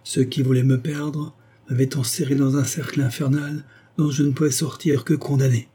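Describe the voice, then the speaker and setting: A man's voice: mid-range voice, little pitch movement, moderate pace, warm tone, slightly rough, pitched above the performer's own voice, natural voice. A man reading aloud, voicing a condemned young woman who is proud, resolute and set on revenge, with an intense, defiant delivery. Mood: grateful, grave.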